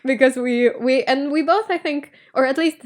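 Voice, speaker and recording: A woman who grew up in Spain speaks as a guest in a relaxed interview. Her voice sits a little high, and she talks in a chatty, opinionated way. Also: normal speed. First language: Russian. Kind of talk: casual conversational interview.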